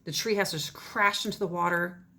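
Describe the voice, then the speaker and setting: A woman's voice: lowish voice, fairly even intonation, moderate pace, bright, forceful tone, slight background noise. A woman teacher reading a children's storybook aloud in a dramatic manner, often dropping toward a whisper.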